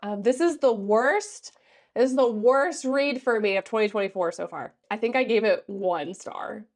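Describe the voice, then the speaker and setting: A woman's voice: on the high side, moderate pace. A woman in her late twenties, stuffed up and congested, giving a chatty, sassy book review with plenty of fillers. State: congested from allergies. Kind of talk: casual book review vlog.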